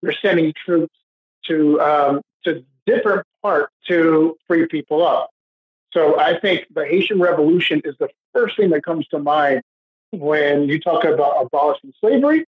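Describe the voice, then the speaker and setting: A man speaking as a podcast guest in unscripted discussion, halting and hesitant, often repeating words. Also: on the high side, slightly rough. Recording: dull lo-fi audio.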